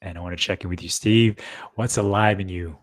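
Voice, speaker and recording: A man's voice: sits low, steady tone, brisk. A man co-hosting a casual live-streamed talk, loose and rambling, with many fillers as he introduces and checks in. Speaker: co-host.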